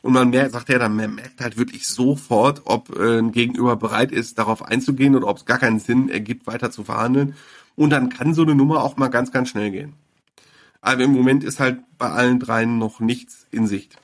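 A man's voice: medium pitch, steady tone, a little fast. Chatty male sports reporter on a call. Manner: chatty, many fillers and restarts. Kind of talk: casual podcast interview.

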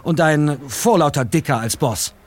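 An actor playing a confident young lead detective in a radio play, brisk and self-assured. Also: male voice, fairly high pitch, natural voice.

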